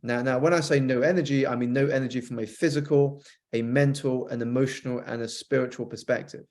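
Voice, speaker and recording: A man with a British accent that has been softened by an American influence, a guest author on a podcast, telling a reflective story fluently with some repeated starts. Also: mid-range voice, steady tone, fairly quick. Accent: British, softened by years with an American spouse. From United Kingdom.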